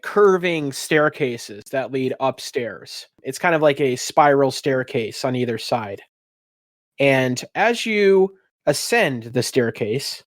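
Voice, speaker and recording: Higher-voiced man narrating a tabletop game. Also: no echo, clean studio sound. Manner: descriptive, scene-setting narration. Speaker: game master, podcast host.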